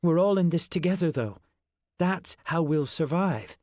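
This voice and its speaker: Man voicing a gentle young woman, reassuring, storybook, dry close sound. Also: fairly high pitch, expressive, unhurried, no room sound, voice pushed up. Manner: soft, reassuring.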